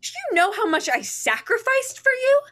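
A woman's voice: very high-pitched, sing-song, normal speed, fairly bright tone, exaggerated voice. A young actress playing a controlling, bitter mother, insistent and commanding, in a staged reading over video call. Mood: forceful, indignant. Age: young adult. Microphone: video call at desk distance.

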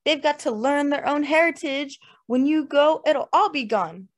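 A woman voicing an excitable, indignant, tipsy wife with a shrill trill, in an audiobook. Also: moderate pace. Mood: drunken enthusiasm. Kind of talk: dramatized literary audiobook dialogue.

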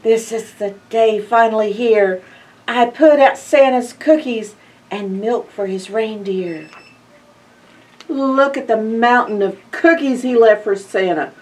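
Older female storytime reader, unhurried and expressive, slightly high voice, addressing little kids. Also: recorded from a distance, rough recording. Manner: expressive, drawn-out phrases with hushed asides and questions to listeners. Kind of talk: animated picture-book read-aloud to young children. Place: indoor library in front of bookshelves.

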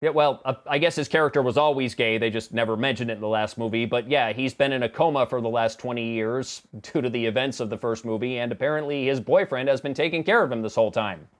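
A man's voice: medium pitch, quick pace, few pauses. A man in his thirties giving a conversational movie review to camera in a sarcastic, exasperated manner. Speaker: film review vlogger. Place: small home office room indoors.

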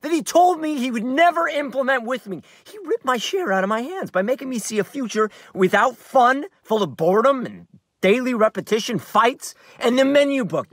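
An American actor in his thirties playing a bitter, wounded screenwriter in a theatrical, halting dramatic monologue. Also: very high voice, no echo, clean studio sound, full character voice. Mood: furious, anguished. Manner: theatrical, halting, shifting from hushed to outbursts. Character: bitter, wounded screenwriter brooding over his twin brother. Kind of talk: dramatic monologue in a staged reading, on book. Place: inside a parked car.

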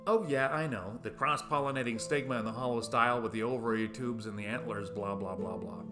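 A man's voice: medium pitch, brisk, over music. A man in his fifties giving a scripted, essay-like talk to camera, asking rhetorical questions with playful emphasis. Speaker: video essayist. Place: small room at home, indoors.

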